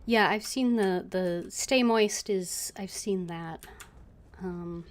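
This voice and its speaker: A woman's voice: mid-range voice, takes it slowly, slight background noise. A woman game streamer, reading out dialogue and commenting casually as she plays.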